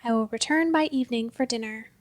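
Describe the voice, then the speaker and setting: A woman narrator plays a gentle, troubled storybook man. The voice is fairly high, earnest and quietly intent, with a wistful temper. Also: fast, natural voice. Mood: quietly resolved.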